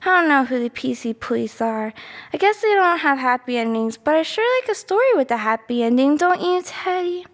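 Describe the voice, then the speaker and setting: High-voiced chatty little girl. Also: lively intonation, a little fast. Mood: cheerful, chatty. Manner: rambling, naive asides and self-corrections. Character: chatty, easily sidetracked little girl telling teddy a bedtime story. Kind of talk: children's storybook monologue. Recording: long reverberant room echo.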